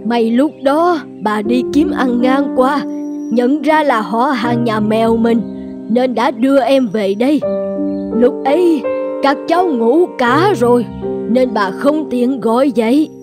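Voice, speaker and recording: A woman's voice: on the high side, slow. A woman playing an old grandmother cat in a cartoon, stern but caring, admonishing like an elder. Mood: gently admonishing. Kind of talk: animated fairy-tale dubbing. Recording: music playing behind the voice.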